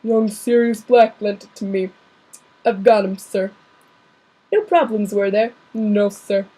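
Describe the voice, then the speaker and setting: A woman reading aloud and putting on the voice of a huge, soft-hearted male giant, loud and tearful. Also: mid-range voice, slow pace, low-quality recording. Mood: gruff.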